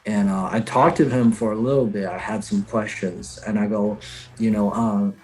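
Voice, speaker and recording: A man from China with a Chinese accent, a guest comedian chatting casually and telling stories with many pauses and fillers. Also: lowish voice, low-quality recording. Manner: hesitant, many fillers, animated storytelling. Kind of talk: casual chat.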